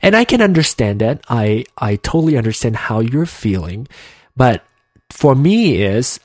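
A man's voice: medium pitch, big pitch swings, a little slow, darkish tone, no echo, clean studio sound. A man from Malaysia in his thirties, with an accent that mixes Malaysian and American English, hosting a solo podcast in an earnest, hesitant way with many restarts and fillers. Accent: Malaysian English mixed with American. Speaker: podcast host. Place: home room with a heater running.

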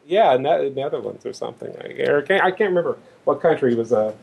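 A man with a medium-pitched voice talks as a guest on a podcast. He tells stories casually and haltingly, often searching for a word before carrying on. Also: expressive, vocal fry, crowd noise, low-quality recording. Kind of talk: casual chat, telling anecdotes.

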